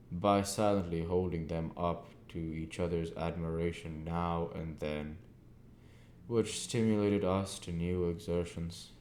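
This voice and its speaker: A man narrating a classic novel as an audiobook reader, deliberately and in short phrases. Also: low-pitched, darkish tone. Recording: echoey room with faint background noise.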